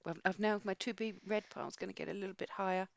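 A woman's voice: mid-range voice, fairly even intonation, fast talker, gravelly, no room sound, muffled recording. A woman co-hosting a podcast, chatting casually about books in a rambling, digressive way. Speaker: podcast co-host. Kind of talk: casual book chat.

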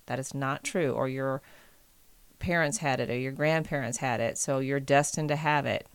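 A woman's voice: very deep voice, moderate pace, clean tone, no room sound. A woman on a podcast, talking about health in a casual, emphatic and insistent way. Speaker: podcast co-host. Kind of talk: casual chat.

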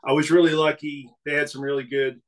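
A man's voice: a little high, fast. Man, middle-aged, from New England, podcast guest, casual storytelling, restarts often. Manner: relaxed storytelling with frequent restarts. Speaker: podcast guest, business CEO. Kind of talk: casual interview conversation.

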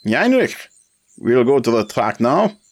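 A man's voice: lowish voice, lively intonation, normal speed, creaky, breathy edge, heavily acted. A man voicing a commanding German car dealer of about forty, with a German accent and a curt, domineering drill-sergeant manner.

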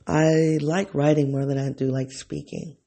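A man's voice: a little high, flat delivery, unhurried, vocal fry, dark tone. A man thinking aloud in a reflective solo monologue, often rephrasing himself and talking straight to his listeners. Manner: talks directly to listeners, informal.

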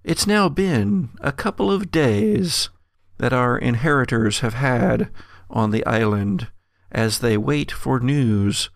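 A man's voice: medium pitch, creaky, no room sound, clean studio sound. A man hosting as game master, improvising tabletop game narration and talking conversationally to his players. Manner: conversational, addresses players directly. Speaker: game master and podcast host.

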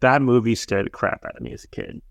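Gravelly, lively man co-hosting a podcast. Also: mid-range voice, fast, no room sound. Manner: enthusiastic, jumps into pop culture references. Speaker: podcast co-host. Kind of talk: casual chat recapping a TV episode.

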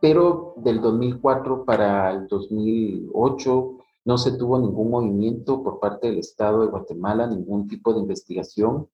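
A man giving brisk, matter-of-fact explanations in an interview. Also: lowish voice. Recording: roomy, dull lo-fi with music.

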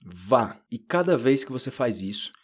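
A chatty Brazilian man hosts a relaxed podcast lesson and speaks with a Brazilian Portuguese accent. His voice sits at an ordinary middle pitch. He peppers his talk with fillers and jumps into a higher voice whenever he acts out someone he is quoting. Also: moderate pace, breathy edge. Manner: lots of fillers like "tipo" and "né". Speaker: Portuguese teacher, podcast host.

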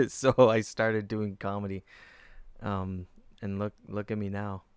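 Low smooth earnest man, dry sound. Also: steady tone, slow, no room sound. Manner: reflective, earnest, musing. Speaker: comedian guest. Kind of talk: casual chat.

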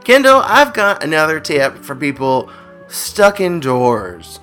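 A male radio host ribs his co-host with wry, mock-dramatic asides. His voice is fairly high, bright and forceful. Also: sing-song, slow pace, soft background music. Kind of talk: comedic radio banter.

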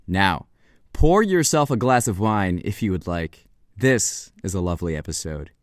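A man hosting a podcast, introducing the guest and asking relaxed, friendly questions. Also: sits low, normal speed. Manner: relaxed, friendly, some fillers. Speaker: podcast host.

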